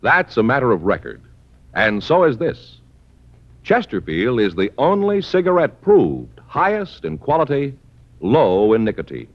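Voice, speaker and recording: A man's voice: medium pitch, huge pitch swings, unhurried. A man with an American accent delivering an emphatic, salesmanlike 1950s radio commercial. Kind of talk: 1950s radio advertising pitch. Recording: muffled vintage radio broadcast.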